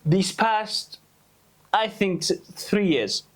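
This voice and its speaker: A man's voice: high voice, expressive, slow. A young man from Iraq, co-hosting a casual football podcast, outspoken and restarting his sentences when heated. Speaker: podcast co-host.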